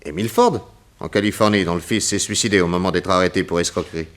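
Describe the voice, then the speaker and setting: Composed, low-voiced private detective. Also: male voice, expressive, fast, fairly bright tone. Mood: thoughtful. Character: composed, probing male private detective in a crime serial. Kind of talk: 1960s French radio drama acting.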